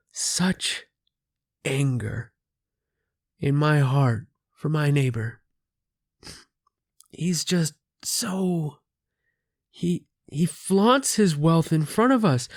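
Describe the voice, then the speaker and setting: Slow, seething churchgoer at confession. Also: male voice, a little high, heavily acted. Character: bitter, angry churchgoer resenting a wealthy neighbor at confession. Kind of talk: audio drama acting.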